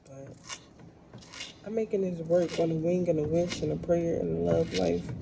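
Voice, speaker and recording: Woman rambling casually over clear background noise. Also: on the low side, steady tone, moderate pace. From United States. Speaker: vlogger. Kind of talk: casual rambling storytelling while cooking. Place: kitchen. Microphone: no dedicated microphone, built-in device mic. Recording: noisy kitchen room without a microphone.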